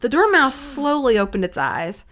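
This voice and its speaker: Woman podcaster, expressive storybook reader, slightly high and very varied in pitch. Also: normal speed. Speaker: podcast co-host reading a book aloud. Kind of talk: expressive read-aloud narration with casual asides.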